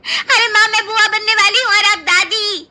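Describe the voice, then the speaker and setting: A woman voicing an excitable, childish young sister-in-law in a Hindi cartoon family story, whiny, eager and cheeky. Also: very high voice, normal speed, voice pushed up, heavily acted. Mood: excited.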